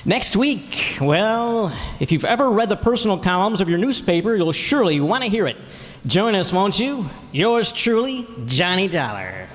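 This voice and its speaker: A man's voice: high voice, very animated, normal speed. An actor playing a wry, world-weary insurance investigator who narrates his case theatrically in an old-time radio detective drama. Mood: inviting. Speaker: radio play actor in the lead role. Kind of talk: 1940s-50s radio detective drama acting. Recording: echoey hall live stage recording, noisy.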